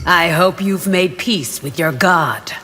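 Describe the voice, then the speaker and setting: An actress playing a resolute, stern royal guard warrior in a fighting video game. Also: female voice, fairly low pitch, slow pace, husky, full character voice. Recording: loud music behind the voice.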